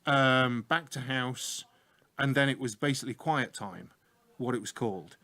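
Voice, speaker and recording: A man's voice: mid-range voice, measured pace, pushed and bright, dry recording. A middle-aged man with a Yorkshire accent, an IT teacher hosting a casual chat and telling stories about his school days with humour. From north of England. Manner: chatty, humorous storyteller. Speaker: IT teacher and show host. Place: small room.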